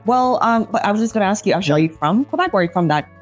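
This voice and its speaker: Woman from South Korea, podcast co-host, lively swooping intonation, supportive; faint music behind her. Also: medium pitch, very fast. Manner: lively, encouraging, laughs a lot. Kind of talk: casual podcast chat.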